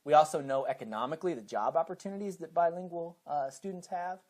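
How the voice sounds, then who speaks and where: A man's voice: fairly high pitch, quick pace, darkish tone. A man with an American accent, a teacher being interviewed, who explains things step by step with examples. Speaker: high school teacher and program coordinator, interview guest.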